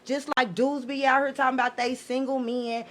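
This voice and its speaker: A woman's voice: sits high, flat delivery, fairly quick. A middle-aged American woman chatting casually on a podcast, giving opinions in slangy, profane, outspoken language.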